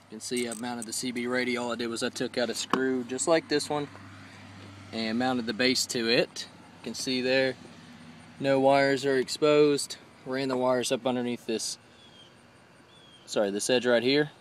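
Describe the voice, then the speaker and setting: A man's voice: medium pitch, fairly bright tone, a little hiss or hum. A man hosting a casual hands-on tutorial, explaining each step informally as he goes. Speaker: host of a DIY vehicle tutorial. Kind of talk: casual hands-on how-to narration. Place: in and around a parked car outdoors in daylight.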